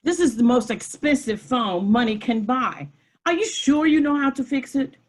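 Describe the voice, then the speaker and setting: Husky highish arrogant boss lady in her 50s, woman acting it, super demanding and entitled, comedy read. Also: animated, normal speed. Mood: haughty, demanding. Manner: haughty, demanding, disdainful. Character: arrogant self-important businesswoman customer in her fifties. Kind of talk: comedy script table read.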